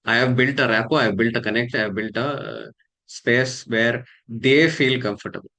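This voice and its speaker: Indian English male coach, mid pitch, thoughtful, halting delivery with fillers. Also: pushed and bright. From Karnataka, India. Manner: measured, halting, many fillers and restarts. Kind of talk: casual talk to camera.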